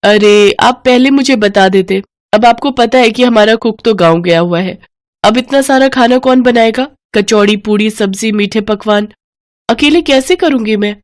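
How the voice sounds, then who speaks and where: A woman voicing a haughty rich mistress of the house in a sharp, bossy, accusing manner for a children's story. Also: a little high, moderate pace, natural voice. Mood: fretting, bossy.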